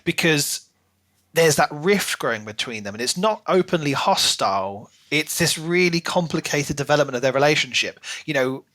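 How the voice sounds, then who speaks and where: A man's voice: fairly high pitch, expressive, moderate pace, bright, forceful tone. A man co-hosting a podcast, talking casually through a book and acting out bits as he explains. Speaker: podcast co-host.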